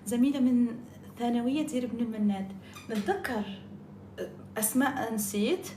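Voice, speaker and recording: A woman's voice: fairly high pitch, warm tone, echoey room, slight background noise. A woman with an Algerian accent chatting casually on a live stream, jumping between topics and mixing in French and English words.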